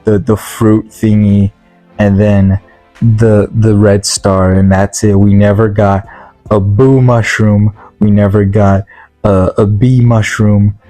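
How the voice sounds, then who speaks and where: A man's voice: on the low side, flat delivery, takes it slowly, warm tone, no echo, faint music behind, low-quality recording. A man giving a casual, opinionated review rant in an exasperated, emphatic way, often repeating rhetorical questions. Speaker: movie reviewer.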